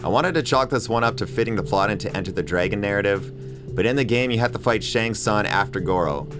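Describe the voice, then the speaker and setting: A man's voice: lowish voice, brisk, music in the background. A man hosting a movie review video, giving comedic commentary in a wry, mock-exasperated way with chuckles.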